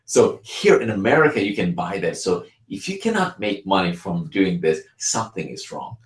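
A man from Bangladesh giving an energetic, persuasive sales pitch for his real estate program. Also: moderate pace, reverberant. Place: Tampa, Florida.

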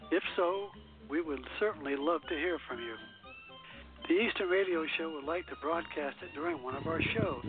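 A man hosting a radio show, reading his scripted links and sign-offs to the listeners. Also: on the high side. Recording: narrow phone-like line.

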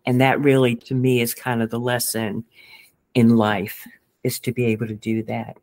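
Man, therapist guest, gentle and reassuring, mid-pitched conversational voice. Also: flat delivery, normal speed. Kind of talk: conversational interview.

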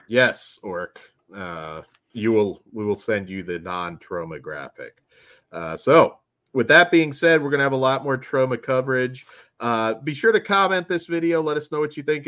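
Male podcast host, low animated voice, hoarse from a late night, dry close sound. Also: dry recording. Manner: rambling, hesitant plugs with many fillers. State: losing his voice. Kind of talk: casual podcast chat.

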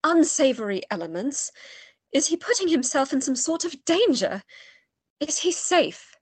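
An actress in a radio play playing a worried, inquisitive woman with a breathy voice and an earnest, polite manner. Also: high voice, very animated, measured pace, no echo.

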